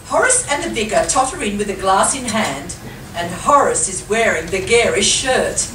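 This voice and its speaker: A woman's voice: medium pitch, animated, normal speed. Woman narrator, roomy distant recording. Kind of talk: scripted narration of an amateur radio play. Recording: roomy, distant live recording, faint noise.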